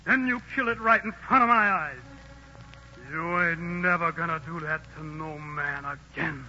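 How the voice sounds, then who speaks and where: Jealous, menacing Joe. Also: male voice, fairly high pitch, pushed and bright, a little hiss or hum. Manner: menacing, slow and bitter. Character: jealous desperate young man Joe, threatening with a knife. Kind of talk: 1940s radio drama acting. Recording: dull lo-fi 1940s radio broadcast with music.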